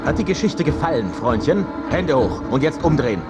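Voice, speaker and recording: A man's voice: fairly high pitch, animated, a little fast, loud music behind the voice, lightly acted. An actor playing a mocking gunman in a radio drama, brash and commanding as he taunts his victim. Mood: mocking, threatening.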